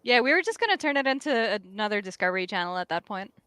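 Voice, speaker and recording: A woman's voice: mid-range voice, very animated, fast talker, pushed and bright, clear, resonant tone, dry recording. A woman chatting casually with a playful, mock-serious way of joking. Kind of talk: casual banter.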